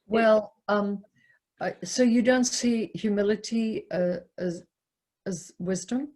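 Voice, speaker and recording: Woman, discussion leader, slow delivery, mid pitch, hesitant, searching for words, unscripted. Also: a little mellow.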